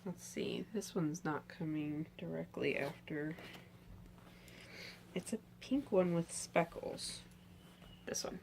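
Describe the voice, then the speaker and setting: A woman in her thirties with a low, gravelly voice, a bit hoarse from a cold. She chats eagerly about her knitting to a camera in front of her, in a bedroom. Faint hiss sits behind her. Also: slow, pauses often, darkish tone. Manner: chatty, enthusiastic, lots of "um"s. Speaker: knitting podcast host. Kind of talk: casual show-and-tell chat. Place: bedroom with pillows, indoors.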